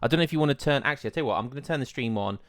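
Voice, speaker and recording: An easygoing man from England chats casually before casting a match, laughing often between remarks. His voice sits at a middle pitch and comes across smooth and clear. The sound is dry and close. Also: expressive, racing, no room sound. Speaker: esports caster. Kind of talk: casual pre-show chat.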